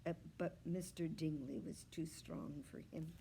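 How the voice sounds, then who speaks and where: A woman reading a children's storybook aloud like a librarian, with measured, expressive phrasing that sometimes drops to a hush. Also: deep voice. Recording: clear background noise.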